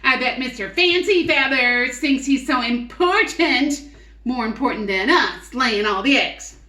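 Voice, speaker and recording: A woman's voice: high-pitched, lively intonation, measured pace, sharp tone, pitched above the performer's own voice, full character voice. A woman voicing a fussy, vain farm hen from a storybook, exclaiming showily, in an echoey room. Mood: indignant, jealous. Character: indignant, jealous farm hens, later giddy and vain. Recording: roomy echo.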